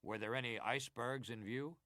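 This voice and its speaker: An actor playing a senator who questions a witness formally and deliberately in a dramatized historical hearing. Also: male voice, medium pitch, pushed and bright, no echo, clean studio sound, natural voice. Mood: formal, probing. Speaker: actor reading hearing testimony.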